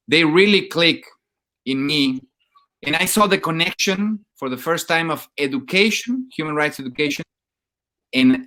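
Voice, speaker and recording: A man's voice: medium pitch, fairly bright tone, low-quality recording. A Mexican man in his forties with a Mexican accent, a Spanish speaker answering interview questions earnestly over an internet link, often hesitating. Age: 40s. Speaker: guest. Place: remote connection over the internet.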